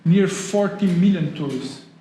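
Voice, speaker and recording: Brazilian man, high voice, echoey hall. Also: unhurried, mellow. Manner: engaging, quizzes the audience. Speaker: guest speaker, tourism lecturer. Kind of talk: public talk at an event. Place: event hall. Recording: echoey hall with faint noise.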